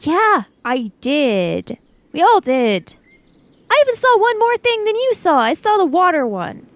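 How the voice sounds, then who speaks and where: A woman's voice: extremely high pitch, very animated, unhurried, dry recording. A woman chatting casually and improvising dialogue as a player in a tabletop roleplaying game.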